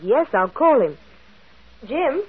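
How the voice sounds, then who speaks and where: A woman's voice: high-pitched, big pitch swings, slow pace, breathy edge, full character voice. An actress playing an earnest, kindly young woman in a radio mystery. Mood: earnest, puzzled. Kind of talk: vintage radio mystery acting. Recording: tinny, narrow-band old radio recording.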